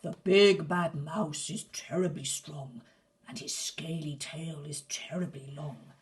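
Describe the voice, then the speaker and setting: A woman voicing a big father monster who tells his child hushed, breathy tales of a scary mouse. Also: deep voice, slow, recorded from a distance. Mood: ominous.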